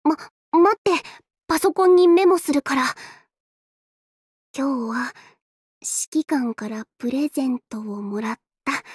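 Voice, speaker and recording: A woman's voice: high-pitched, takes it slowly, pauses often, dry recording, clean studio sound. A woman voicing a shy, self-effacing young android fighter in an anime game, hesitant and earnest, with a tendency to stammer. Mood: flustered, bashful. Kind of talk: Japanese anime game voice lines.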